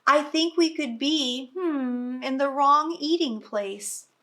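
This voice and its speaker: A grumpy, worried farm cow from a picture book, voiced by a woman reading aloud. It speaks loudly, with long, drawn-out words. The delivery is plain and slow, close to the reader's own voice. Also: high-pitched, recorded from a distance, pitched above the performer's own voice.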